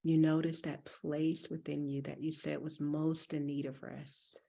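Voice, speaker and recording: A woman guides a breathing meditation in a mellow, husky voice with some fry. She speaks gently and deliberately, giving soothing instructions at an unhurried pace. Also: deep voice, level tone. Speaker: meditation teacher.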